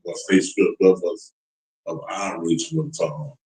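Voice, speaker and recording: Man, low voice, easygoing newcomer asking questions. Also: very animated, moderate pace, darkish tone, poor audio. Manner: agreeable, short affirmations. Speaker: newcomer to the class. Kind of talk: casual chat.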